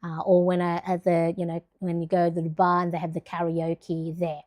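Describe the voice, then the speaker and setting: A woman's voice: on the low side, completely flat delivery, moderate pace, darkish tone. A middle-aged woman from the Philippines chatting casually on a livestream, rambling with lots of fillers and restarts. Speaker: planner livestream host.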